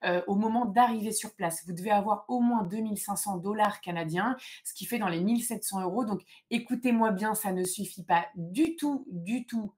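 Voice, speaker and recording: A woman's voice: medium pitch, moderate pace, few pauses, darkish tone. A woman hosting an informal live question-and-answer session, explaining things in a direct, emphatic way. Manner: emphatic, direct, advising listeners.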